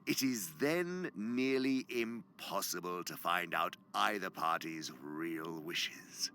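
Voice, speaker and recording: A sly senior male demon in a radio drama. His voice is creaky, sharp and sweeps widely in pitch. He lectures with pompous, gloating relish and can sink into a whisper. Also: expressive, takes it slowly, a little hiss or hum, full character voice. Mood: smug relish. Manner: pompous, dramatic, savoring words, dropping into whispers. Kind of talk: theatrical radio drama monologue.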